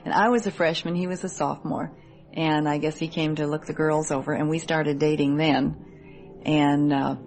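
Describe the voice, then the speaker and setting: A woman's voice: sits low, flat delivery, moderate pace, a little mellow, faint music behind. A woman in her thirties with a Midwestern American accent, answering interview questions in an earnest, articulate way. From Midwest United States. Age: 30s. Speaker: interview guest, military wife.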